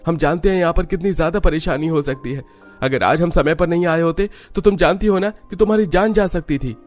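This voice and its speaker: A man's voice: on the high side, fairly quick, soft background music, pitched above the performer's own voice, close to an everyday voice. A man voicing an earnest, agitated young village husband in a cartoon story. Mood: worried, scolding. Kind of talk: cartoon story dialogue acting.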